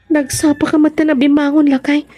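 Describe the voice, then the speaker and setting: A woman's voice: high-pitched, flat delivery, moderate pace, mellow, natural voice. A woman with a Filipino accent playing a hardworking, worried wife and mother in a radio drama. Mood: caring, instructing.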